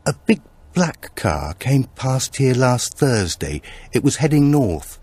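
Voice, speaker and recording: Male reader as elderly village woman, raised pitch, cheerful, matter-of-fact, lively swoops, audiobook character. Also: slow pace, husky, full character voice. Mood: chatty, eager.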